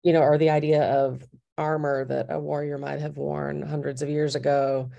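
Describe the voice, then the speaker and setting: A woman's voice: low voice, level tone, moderate pace, gravelly, dark tone, muffled recording. A woman, a furniture designer as an interview guest, speaking thoughtfully and searching for words. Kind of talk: casual interview conversation.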